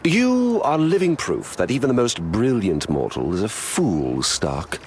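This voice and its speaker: A man's voice: fairly low pitch, huge pitch swings, measured pace, a little mellow, slight background noise. A man playing a scheming, arrogant trickster god-king in a video game, pompous and mocking. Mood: smug, mocking.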